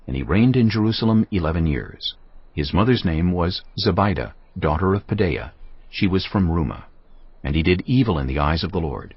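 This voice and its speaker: A man narrating scripture in a dramatized audio Bible with grave, expressive delivery. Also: low voice, husky. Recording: dull lo-fi with music underneath.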